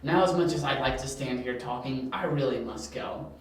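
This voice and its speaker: A man's voice: mid-range voice, normal speed, close to an everyday voice. A man playing a smug criminal mastermind in an amateur audio drama, formal and mock-courteous. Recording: very echoey, distant from mic.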